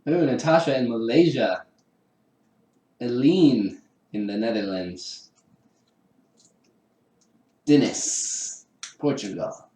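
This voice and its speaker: A man's voice: medium pitch, slow, pauses often, warm tone, roomy sound, poor audio. A man chatting casually with live-stream viewers while assembling a kit, laughing often and poking fun at himself. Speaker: livestreamer building a 3D printer kit. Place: home room with a garage downstairs.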